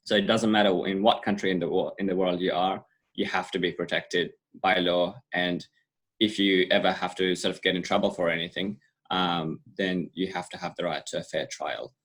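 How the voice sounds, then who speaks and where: A man's voice: low-pitched, fairly even intonation, normal speed, fairly bright tone. A man from Afghanistan, a youth programs coordinator, laying out his points one by one in a panel discussion. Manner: methodical, lays out points in order.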